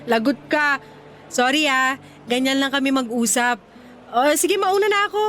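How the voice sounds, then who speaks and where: A woman's voice: high-pitched, animated, measured pace, a bit bright, a little hiss or hum. An actress playing a sassy, jealous young single mother with a Filipino accent in a radio drama. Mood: sassy, taunting. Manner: taunting banter with sarcastic edge.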